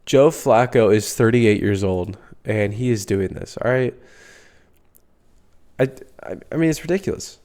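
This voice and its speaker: A man's voice: fairly low pitch, expressive, a little slow, a little mellow. Congested young man rambling about football. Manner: rambling, filler-heavy, swears casually. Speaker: podcast host. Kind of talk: casual solo sports talk.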